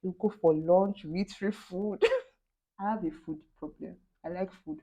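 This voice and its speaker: A young Nigerian woman with a Nigerian accent, Yoruba her first language, hosting a casual chat, animated, mixing in pidgin and laughing often. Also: fairly low pitch, a little slow. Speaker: host.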